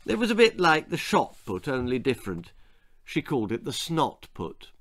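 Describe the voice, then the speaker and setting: A man reading a scripted children's story as its author, in a dramatic, comic, emphatic manner with pauses. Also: mid-range voice, very animated, fairly bright tone.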